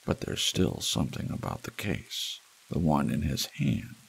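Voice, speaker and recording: A man with an extremely low voice full of heavy fry reads noir fiction aloud in an ASMR style. His delivery is slow, deliberate and dramatic, with pauses between phrases. Rain sounds play behind him. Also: wildly swooping pitch. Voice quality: gravelly, husky. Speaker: audiobook narrator. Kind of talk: scripted second-person noir fiction narration, read as ASMR. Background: rain and wind ambience.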